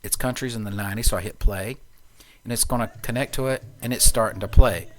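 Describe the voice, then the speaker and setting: A man presenting an informal, unscripted software tutorial, explaining things step by step. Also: lowish voice, fast. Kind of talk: informal screencast walkthrough.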